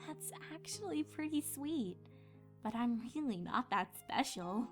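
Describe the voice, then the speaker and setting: A woman's voice: on the high side, lively intonation, unhurried, soft background music. A woman voicing an earnest, easily flustered young female pony princess in a fan fiction audio drama. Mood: bashful, curious.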